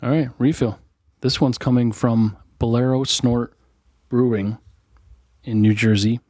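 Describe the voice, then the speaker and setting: A man's voice: mid-range voice, fairly even intonation, warm tone, no echo, clean studio sound. A man co-hosting a casual beer podcast, joking crudely and rambling with lots of fillers. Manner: crude, joking, drawn-out filler-heavy talk. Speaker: podcast co-host. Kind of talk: casual chat over beers.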